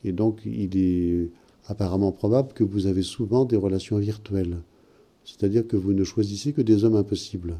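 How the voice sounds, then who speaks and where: Male host, calm and probing in his questions, deep dark tone. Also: moderate pace. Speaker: host interpreting dreams. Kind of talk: counselling talk.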